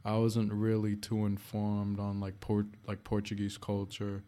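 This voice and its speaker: Podcast host guy, really dark mellow voice, low-key crude jokey banter. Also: completely flat delivery, unhurried. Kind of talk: casual chat.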